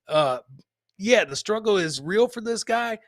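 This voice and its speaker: Higher-pitched man hosting comedy clips. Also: lively intonation, a little slow, no echo, studio quality. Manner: crude, profane, mocking humour; repeats his punchlines. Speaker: comedy show host. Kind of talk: casual live comedy commentary on video clips.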